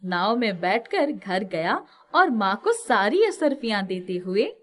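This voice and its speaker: A woman narrating a scripted children's moral story with expressive delivery. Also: medium pitch, unhurried.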